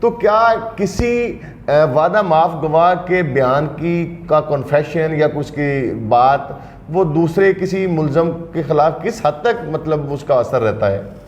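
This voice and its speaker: A man's voice: a little high, expressive, slow pace, echoey room. A male interview host asking long, winding questions about legal procedure.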